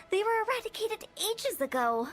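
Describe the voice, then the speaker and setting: An actress playing a confident, mischievous young woman who directs a funeral parlor, brisk, playful and commanding, in game dialogue. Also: very high voice, heavily acted. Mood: teasing. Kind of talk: dramatic video game dialogue. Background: background music.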